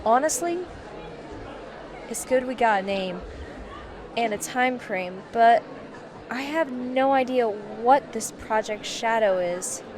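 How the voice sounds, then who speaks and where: Crowd ambience surrounds a shrewd mission handler acted by a woman in an audio drama. The voice is fairly high. She is articulate and candid as she appraises the situation. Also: slow pace, pauses often. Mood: frank, concerned. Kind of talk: radio drama dialogue. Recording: clear crowd ambience behind voice.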